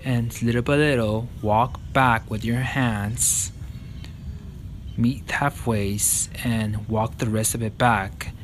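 Slow, calm male yoga instructor. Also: medium pitch, a little hiss or hum. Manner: slow, calm step-by-step cues.

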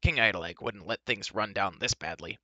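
A man's voice: fairly low pitch, normal speed, dry recording, lo-fi sound. A man voicing an irascible, impatient dwarf in a fantasy storybook, given to indignant, snappy outbursts. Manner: snappy, muttering and shouting outbursts.

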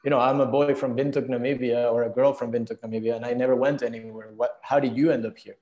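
A man's voice: medium pitch, level tone, quick pace, warm tone, dull audio. A man in his thirties from Venezuela, answering reflectively as a guest on a video call interview. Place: indoor room, video call.

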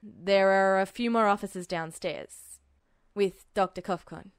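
A woman voicing tired adult policemen, gruff and polite, in a storybook reading. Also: unhurried, dry recording, studio quality, lightly acted. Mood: tired, formal. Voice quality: deep, hoarse with sleep. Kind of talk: fan fiction audiobook character voice.

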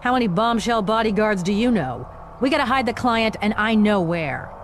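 A woman's voice: mid-range voice, expressive, background noise, close to an everyday voice. A woman playing a tough, no-nonsense female bodyguard who gives orders in a video game cutscene. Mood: brisk, commanding. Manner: brisk, no-nonsense, bossy. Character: tough, commanding female bodyguard leading the team.

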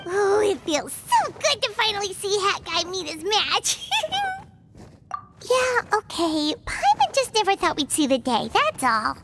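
A woman voicing a tiny, cheeky, childlike flying fairy companion in a video game, squeaky and excitable. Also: measured pace, noisy background, heavily acted. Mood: teasing. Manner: squeaky, excitable, refers to self in third person.

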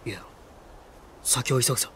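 A man acting a petulant, insecure young nobleman in an anime audio drama, brash and rough-spoken. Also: moderate pace, a bit bright, a little hiss or hum, close to an everyday voice. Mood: angry, wounded. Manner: brash, rough-spoken, whining when cornered. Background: background music.

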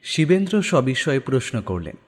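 A man's voice: mid-range voice, big pitch swings, a little fast. A man narrating a scripted audio story in a dramatic style. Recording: long studio reverb, background music.

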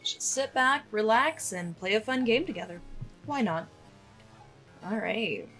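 A woman's voice: fairly high pitch, expressive, unhurried, a bit bright, faint music behind. A woman giving casual, wry let's play commentary as a gaming streamer, self-deprecating and sweary.

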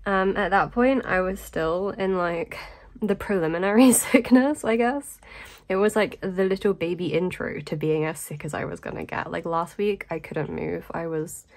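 A woman talking casually in a vlog voice-over while sick, with a blocked nose, a sore throat and a voice that keeps fading, rambling with lots of ums and little laughs. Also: lowish voice. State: congested and nasal from illness. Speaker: vlogger.

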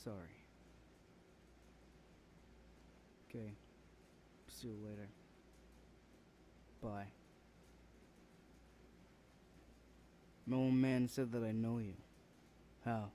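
Casting: male actor as young, confrontational big brother for audio roleplay; medium pitch, hostile, interrogating. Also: fairly even intonation, a little slow, dark tone, slight background noise, natural voice. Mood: suspicious. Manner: confrontational, swearing, demanding. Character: rude, hostile, protective older brother confronting an intruder. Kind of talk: ASMR roleplay acting.

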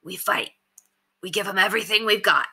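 A woman playing a male bandit king in an audiobook story, grave and resolute, speaking in a slow, direct way with rustic phrasing. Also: medium pitch, creaky, bright, forceful tone, breathy edge, heavily acted. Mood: calm, resolute.

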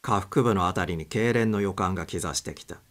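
A man's voice: deep voice, fairly quick. A man reading a story aloud as a dramatic audiobook narrator. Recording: clear background noise.

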